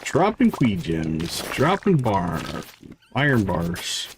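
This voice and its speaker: A man's voice: lowish voice, huge pitch swings, slow pace, noisy background. A man giving casual, playful livestream commentary as he plays a video game, often muttering to himself. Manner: playful, talks to himself, chants little sound words.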